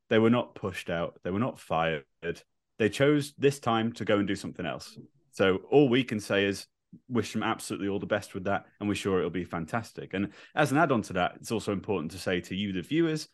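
A man co-hosting a podcast, speaking candidly and reassuringly to viewers, admitting he is a bit nervous. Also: fairly low pitch. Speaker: podcast co-host. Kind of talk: earnest address to viewers.

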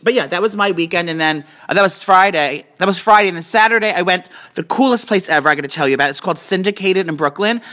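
A man's voice: high-pitched, fast, few pauses, sharp tone. An American man in his late thirties chatting casually and telling stories in a rambling, slangy way as a podcast co-host. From New Jersey, USA. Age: late 30s. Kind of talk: casual chat, storytelling. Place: indoors at a home. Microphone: phone.

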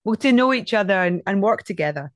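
A woman hosting a podcast interview, enthusiastic and quick to laugh. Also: mid-range voice, animated, no echo, clean studio sound. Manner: warm, enthusiastic, laughs often. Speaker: podcast host. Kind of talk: interview chat.

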